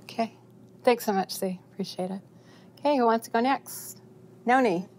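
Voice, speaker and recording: A woman's voice: on the high side, moderate pace, darkish tone, a little hiss or hum. A woman moderating a group discussion, thanking each speaker and asking who is next. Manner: brief thanks and prompts. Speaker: discussion facilitator.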